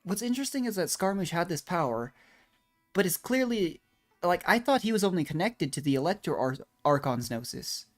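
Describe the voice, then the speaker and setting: A woman giving relaxed, musing, casual commentary as she streams a game. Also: fairly low pitch, fairly quick. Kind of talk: casual reactive gameplay commentary. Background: game music and dialogue.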